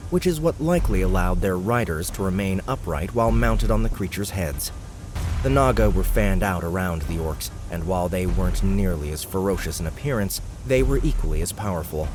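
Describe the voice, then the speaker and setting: Brief: male storyteller for scripted fantasy audiobook, lower register, faint noise behind the voice. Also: darkish tone. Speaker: audiobook narrator.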